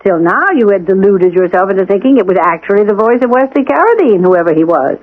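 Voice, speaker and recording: Actress as a brisk, bossy housekeeper on old-time radio. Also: female voice, medium pitch, lively intonation, natural voice. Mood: instructive. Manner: firm, crisp, admonishing. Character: brisk, bossy, no-nonsense housekeeper to an anxious gentleman. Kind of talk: radio drama acting. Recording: tinny band-limited old radio broadcast.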